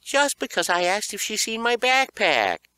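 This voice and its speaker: A man voicing a whiny, defensive middle-school boy in an audiobook, pleading and excitable. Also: high-pitched, moderate pace, clear, resonant tone, no echo, pitched above the performer's own voice, exaggerated voice. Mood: whiny protest. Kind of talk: acted audiobook dialogue.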